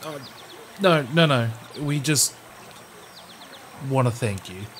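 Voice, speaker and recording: A man's voice: mid-range voice, lively intonation, takes it slowly, a little hiss or hum. A man playing a scrappy, earnest young brother in a roleplay audio, a little awkward and hesitant. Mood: earnest, indignant. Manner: hesitant, repeating himself.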